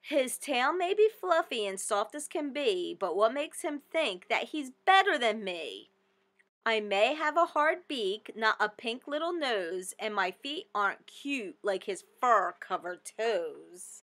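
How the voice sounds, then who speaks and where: A woman's voice: a little high, big pitch swings, slow pace, a bit bright, heavily acted. A woman voicing a jealous, boastful little hen in a storybook, with indignant, emphatic delivery.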